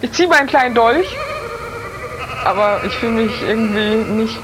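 A woman's voice: on the high side, lively intonation, normal speed. Woman playing, noisy hissy recording. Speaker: player in a tabletop role-playing game. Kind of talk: improvised in-character chat and play.

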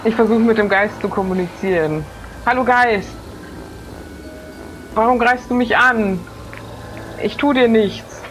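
A woman's voice: a little high, a little slow, long pauses, fairly bright tone, slight background noise, rough recording. A woman improvising in character as a player in a tabletop role-playing game. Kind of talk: improvised in-character chat and play.

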